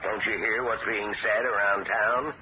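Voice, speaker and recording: A man's voice: fairly low pitch, steady tone, measured pace, lightly acted. An actor playing a coaxing small-town man who is trying to sell a house, in an old radio drama. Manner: coaxing, persuasive. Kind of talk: 1970s radio drama acting. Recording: dull, hissy old radio broadcast.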